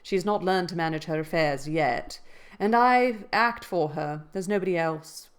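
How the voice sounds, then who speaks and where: A woman voicing an old housekeeper who talks in a brisk, fussing, exclaiming way. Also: fairly low pitch, clean tone, natural voice. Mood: chatty.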